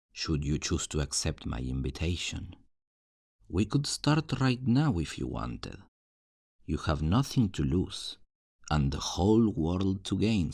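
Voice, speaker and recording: Casting: male actor as charming thief for fantasy ASMR, teasing and theatrical, unhurried, swinging delivery. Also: deep voice, a little mellow, no echo, studio quality, full character voice. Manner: flirtatious, smooth-talking, theatrical, teasing. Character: charming, roguish fantasy thief recruiting for his guild. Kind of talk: ASMR roleplay, scripted character acting.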